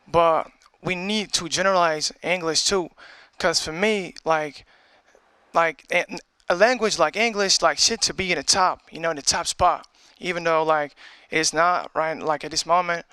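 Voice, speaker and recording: Young algerian guy, crisp bright voice, super casual and slangy, answering from the audience. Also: a little high, no room sound. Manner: slangy, filler-heavy casual talk. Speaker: audience member. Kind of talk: casual chat. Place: TV studio.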